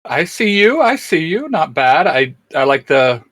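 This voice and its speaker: A man's voice: a little high, sing-song, measured pace, fairly bright tone, dry recording. Young guy hosting a youtube show, slangy, voice goes up and down loads, dry close sound. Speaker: YouTube channel host. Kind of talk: casual chat.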